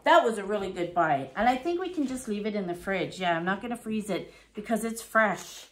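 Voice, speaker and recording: A middle-aged woman from Canada chatting casually through her grocery haul in her home kitchen, reading prices aloud and thinking out loud. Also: mid-range voice, animated, fairly quick, slight background noise. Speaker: vlogger. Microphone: fixed camera at table.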